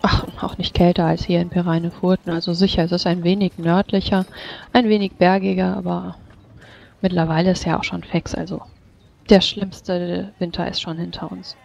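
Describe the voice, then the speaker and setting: A woman's voice: fairly low pitch, little pitch movement, moderate pace, creaky, darkish tone, a little hiss or hum. A woman playing a polite, learned noblewoman in a tabletop game, with courteous formal speech, on a dull microphone. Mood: polite, chatty. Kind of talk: tabletop roleplay in character.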